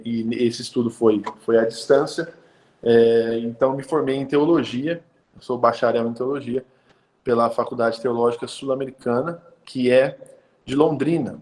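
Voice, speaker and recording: A man's voice: medium pitch, a little mellow. A man in his thirties from the of São state from that region, giving a casual live-stream talk as a pastor. He speaks conversationally, with many hesitation fillers and tag questions. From Brazil, interior of São Paulo state. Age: 30s.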